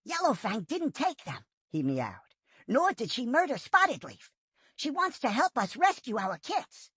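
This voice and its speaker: A woman's voice: a little high, big pitch swings, unhurried, a bit bright, slightly rough, dry recording, clean studio sound, pitched above the performer's own voice, full character voice. A woman voicing an eager young tomcat. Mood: firm. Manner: earnest and urgent.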